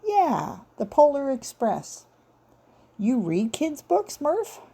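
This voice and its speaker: A woman's voice: sits high, very animated, takes it slowly, vocal fry, mellow, pitched above the performer's own voice, exaggerated voice. A woman voicing a lanky, grumbling male police detective with a casual, blunt, slangy manner. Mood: casual, puzzled.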